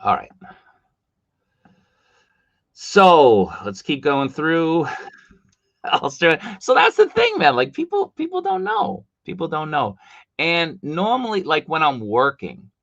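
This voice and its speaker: A man's voice: a little high, big pitch swings, takes it slowly, vocal fry, long pauses, a bit bright, no room sound, muffled recording. Chatty Boston man hosting a stream. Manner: chatty, reads chat comments aloud. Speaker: livestream host. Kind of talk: casual livestream chat. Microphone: desktop mic.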